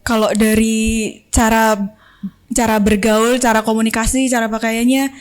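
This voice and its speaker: A young woman from Bali, a podcast guest, talking in a relaxed, reflective way and mixing in English phrases. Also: on the high side, a little slow, clear, resonant tone. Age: young adult. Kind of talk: casual chat.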